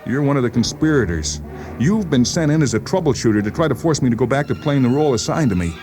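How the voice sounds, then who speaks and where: A man playing an agitated, paranoid patient in a dramatized story, insistent, halting and repeating himself. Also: medium pitch, expressive, music in the background, lightly acted. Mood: agitated, accusing. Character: agitated paranoid male patient convinced the world conspires against him. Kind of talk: dramatized short story reading.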